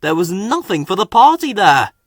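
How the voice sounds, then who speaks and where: A man's voice: high-pitched, big pitch swings, moderate pace, dry recording, studio quality. A man reading a scripted children's story as its narrator.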